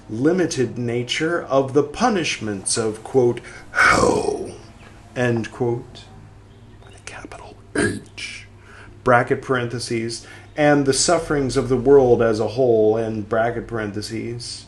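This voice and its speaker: A man hosting a podcast, reading a text aloud and speaking its punctuation, with quiet wry asides between passages. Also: medium pitch, a little hiss or hum. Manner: reads slowly, speaking the punctuation aloud and trailing into whispered asides.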